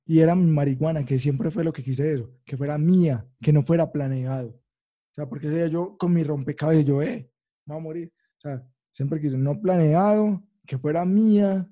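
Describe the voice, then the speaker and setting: A man with a Colombian Spanish accent, a podcast co-host in a casual chat over a video call, slangy and excitable, swearing freely. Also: fairly high pitch, moderate pace, muffled recording.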